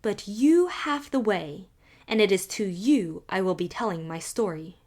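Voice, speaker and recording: A woman voicing a stately, proud old widow with a Highland accent in a rich, powerful voice and lilting, formal phrasing. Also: animated, a little slow, darkish tone. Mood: regal, insistent. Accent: Scottish Highland. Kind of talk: audiobook character reading.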